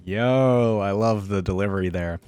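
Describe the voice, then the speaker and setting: A young man giving casual, slangy reaction commentary in brief, low-key remarks as a video host. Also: moderate pace, darkish tone, clean tone, dry recording.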